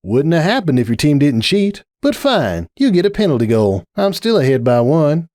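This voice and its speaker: A man's voice: on the high side, big pitch swings, moderate pace, a little mellow, dry recording, studio quality, voice pushed up. A man voicing a hardy, good-natured female pony from a cartoon, talking in a folksy, teasing way.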